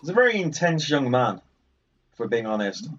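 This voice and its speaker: A man's voice: mid-range voice, very animated, brisk, a bit bright. Rambling man on a muffled mic. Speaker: gaming video host. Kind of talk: casual let's play commentary.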